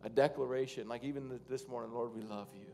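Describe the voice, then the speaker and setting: A man in his thirties, a pastor, preaching and then praying over his congregation from a church stage, with loose, halting phrasing that rises to fervent prayer. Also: mid-range voice, fairly even intonation, gravelly, a little mellow, soft background music. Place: large church stage, indoors.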